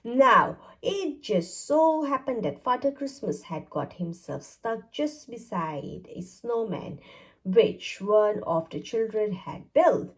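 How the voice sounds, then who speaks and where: A woman reading a children's storybook aloud in an expressive, deliberate storytelling way. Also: on the high side. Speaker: storybook reader. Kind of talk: children's read-aloud narration.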